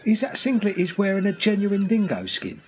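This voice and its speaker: A man's voice: high-pitched, wildly swooping pitch, moderate pace, a little mellow, a little hiss or hum. A man acting a curious, naive stranger newly arrived on an island in a comedic radio play. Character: curious, naive male hang-glider newcomer.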